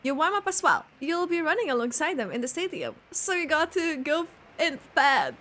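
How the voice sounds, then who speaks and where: A woman playing a strict, earnest coach in an anime game, brisk, formal and instructing. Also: high voice, big pitch swings, pushed and bright, a little hiss or hum, full character voice. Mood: firm, instructing. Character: strict, earnest coach and tournament course designer.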